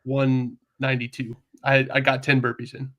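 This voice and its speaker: Male co-host, relaxed, self-deprecating, chatty storyteller. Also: mid-range voice, moderate pace. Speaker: podcast co-host. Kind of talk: casual chat.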